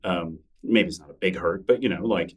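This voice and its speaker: A man with a very low voice talks in an easy, enthusiastic way during an interview, often breaking into laughter. He sits a little back from the microphone. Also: normal speed, breathy edge, recorded from a distance. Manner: chatty, enthusiastic, laughs easily. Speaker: podcast guest, newsletter creator. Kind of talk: casual interview conversation.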